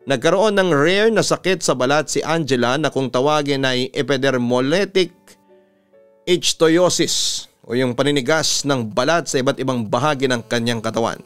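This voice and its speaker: A man hosts a storytelling program with a smooth, resonant voice. He reads listeners' letters earnestly and with drama, like a practised radio announcer. Soft music plays beneath him. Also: medium pitch. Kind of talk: dramatic letter reading and heartfelt narration. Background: music under the voice.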